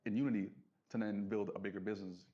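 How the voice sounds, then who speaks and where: A man talking casually and reflectively as an interview guest, earnest and halting, circling back over his phrases. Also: on the low side, fairly even intonation, mellow. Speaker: interview guest, business entrepreneur. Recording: dull lo-fi audio.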